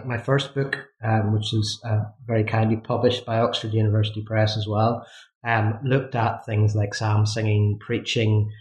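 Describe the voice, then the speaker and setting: A man with a Northern Irish accent, a historian as an interview guest, speaking in a measured, thoughtful way with frequent ums. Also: fairly low pitch. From Northern Ireland. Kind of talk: academic interview. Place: university office. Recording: roomy echo.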